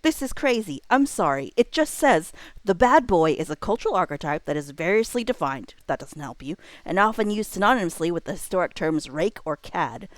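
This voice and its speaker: Low-pitched woman rambling casually, dry close sound. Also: sing-song, fairly quick, dry recording. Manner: rambling, self-interrupting, informal. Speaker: livestream host. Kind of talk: casual livestream chat, thinking aloud.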